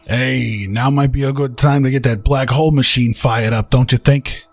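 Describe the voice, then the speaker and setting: A man's voice: medium pitch, steady tone, moderate pace, soft background music, close to an everyday voice. A man playing a wisecracking, sarcastic spaceship passenger in a radio drama, brash in delivery. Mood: exasperated. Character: foul-mouthed, wisecracking male spaceship passenger.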